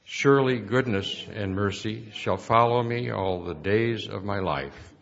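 Church lay reader, man with a lowish voice, measured formal recitation, muffled recording. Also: gravelly. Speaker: church board vice chairman reading scripture. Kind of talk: formal scripture reading.

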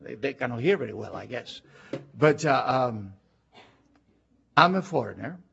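An older man from Argentina with an Argentine Spanish accent, preaching a sermon with emphatic, drawn-out words. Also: mid-range voice, vocal fry, slight background noise. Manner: animated and emphatic, drawing out key words. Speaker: pastor. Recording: dull lo-fi recording.

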